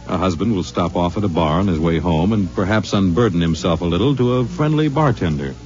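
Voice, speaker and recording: A measured male announcer introduces the program in a formal, dramatic voice, a bit on the low side. Music plays clearly behind him, and the sound is a muffled 1950s radio broadcast. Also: animated, darkish tone.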